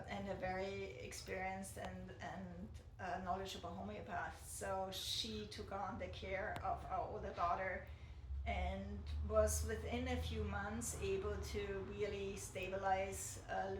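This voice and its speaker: Middle-aged woman, flat hesitant voice. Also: mid-range voice. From Austria. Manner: hesitant, many fillers and restarts. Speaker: mother giving a testimonial. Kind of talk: personal testimonial. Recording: echoey room with background noise.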